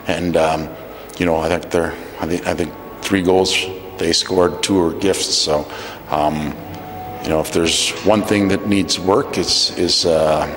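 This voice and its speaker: A middle-aged man, a head coach, giving a measured, halting post-game interview into a handheld mic. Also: sits low, steady tone, over music. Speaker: ice hockey head coach.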